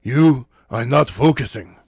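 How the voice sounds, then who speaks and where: A man voicing a calm, stern male martial arts teacher with a measured, matter-of-fact way of speaking, in a storybook reading. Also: mid-range voice, slightly rough, no echo, studio quality.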